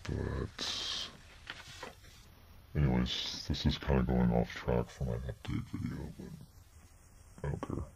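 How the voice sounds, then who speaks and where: A man in his twenties rambling casually through a vlog update, halting and often trailing off, recorded on a webcam at a desk. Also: rumbling low, flat delivery, vocal fry, a little mellow. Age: 20s. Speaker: vlogger. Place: small bedroom indoors. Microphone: webcam at desk distance. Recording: noisy webcam audio.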